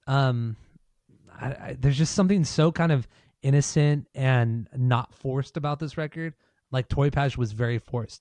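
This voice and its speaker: Brief: male podcast co-host, slightly hoarse, blunt and opinionated, swears freely, dry close mic. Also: mid-range voice, darkish tone, no echo. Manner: swears a lot, loose and opinionated. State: losing his voice. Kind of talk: casual podcast chat.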